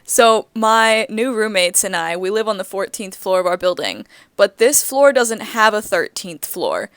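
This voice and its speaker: A woman in her twenties co-hosts a casual podcast chat, talking freely with frequent giggles and "like" fillers. Her voice is smooth and clear, a little groggy from the early hour. The sound is close and dry with no room around it. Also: mid-range voice, very animated, a little slow, a bit bright, dry recording. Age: 20s. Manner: chatty, giggly, lots of "like" fillers. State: groggy morning voice. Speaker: podcast co-host.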